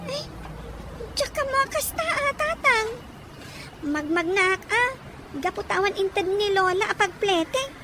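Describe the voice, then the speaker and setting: A woman's voice: very high-pitched, expressive, measured pace, long pauses, very noisy. A woman playing a timid, plaintive schoolgirl granddaughter in an Ilocano drama, childlike and pleading. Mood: affectionate, plaintive. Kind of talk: Ilocano radio drama acting.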